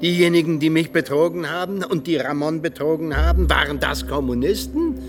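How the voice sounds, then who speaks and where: An actor playing a disillusioned, hot-headed ageing former Soviet agent in a radio drama, with a sardonic manner. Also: male voice, on the high side, a little fast, music in the background, close to an everyday voice. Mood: bitter, heated. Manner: heated, sardonic speechifying.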